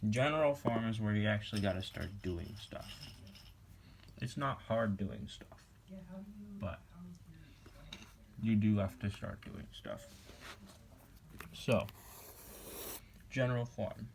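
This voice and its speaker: A man's voice: fairly low pitch, lively intonation, gravelly, slight background noise. A teenage boy casually talking a classmate through a math lesson, offhand and joking as he writes on paper. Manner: slow, offhand and jokey, talking while writing and snacking. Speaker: student tutoring a classmate. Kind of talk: casual homemade math walkthrough. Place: indoor room at home.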